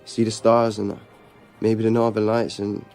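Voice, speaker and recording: A man's voice: lowish voice, monotone, a little slow, a little mellow, faint music behind. A male actor in a radio drama playing a sullen, hurt teenage boy with a terse, downbeat delivery. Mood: wistful, downcast. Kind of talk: naturalistic radio drama acting.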